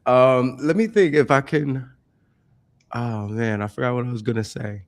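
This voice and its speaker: A man from Brooklyn hosting a casual interview, relaxed and reflective, and quick to laugh. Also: mid-range voice, dry recording. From Brooklyn, New York. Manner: laid-back, laughs easily, reflective.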